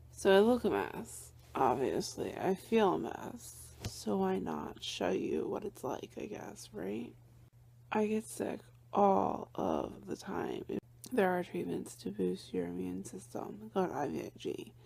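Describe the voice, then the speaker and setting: Hushed, gravelly young woman vlogging. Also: medium pitch. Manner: soft, often hushed and breathy, with sighs. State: congested with a cold. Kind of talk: personal video diary.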